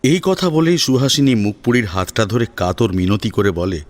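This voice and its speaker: A man giving expressive scripted narration of a story as its narrator. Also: on the low side, moderate pace.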